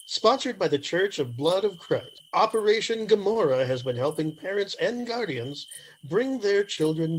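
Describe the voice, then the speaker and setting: A solemn, moralizing announcer in a radio drama, faintly sinister. He reads slowly and theatrically with broad swings in pitch. Faint noise and insects sit behind him. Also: poor audio. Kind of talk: scripted radio sponsor read.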